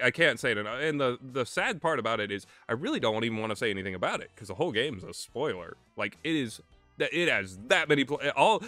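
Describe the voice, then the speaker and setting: A man giving casual, rambling commentary as a reviewer, speaking haltingly and emphatically and often repeating himself. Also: medium pitch, bright, forceful tone, dry recording. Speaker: visual novel reviewer.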